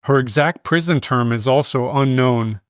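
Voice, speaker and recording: A man reading scripted news in a measured, formal manner. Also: medium pitch, no echo, studio quality. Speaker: news reader.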